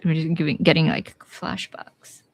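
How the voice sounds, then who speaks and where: A young woman with a Bisaya Filipino accent who teaches watercolor live, talking casually and thinking aloud as she paints, with some quiet asides. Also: low voice, flat delivery, normal speed, no room sound. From Philippines. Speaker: watercolor artist streaming live. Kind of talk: live painting tutorial with chat replies.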